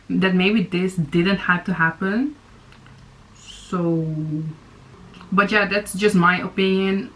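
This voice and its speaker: A woman's voice: fairly low pitch, fairly bright tone, slight background noise, poor audio. Hesitant young woman reacting on camera. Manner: hesitant, many fillers and restarts. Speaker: YouTube reaction host. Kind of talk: casual reaction commentary.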